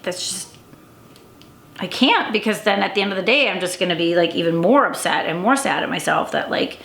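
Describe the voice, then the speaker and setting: A woman's voice: mid-range voice, creaky, fairly bright tone. Woman, casual vlog, rambling with fillers, slightly congested, echoey room. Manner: rambling, many fillers and restarts. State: a little stuffy, congested. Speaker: vlogger and coach.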